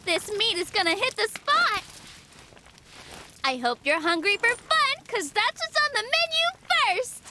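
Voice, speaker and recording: A woman's voice: very high voice, big pitch swings, measured pace, background noise, exaggerated voice. A woman playing an exuberant, showboating young warrior princess in a video game, bubbly and theatrical.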